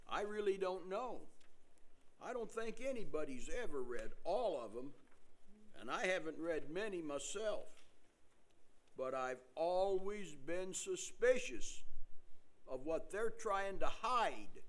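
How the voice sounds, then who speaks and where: An older man playing a suspicious, overprotective relative in an amateur play reading, earnest and deliberate. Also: high-pitched, sing-song, heavily acted.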